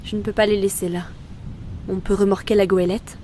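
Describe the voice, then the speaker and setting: Actress as a weary, commanding soul reaper. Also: female voice, mid-range voice, level tone, brisk, background noise, natural voice. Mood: resigned duty. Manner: terse, matter-of-fact authority. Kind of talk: audio drama acting.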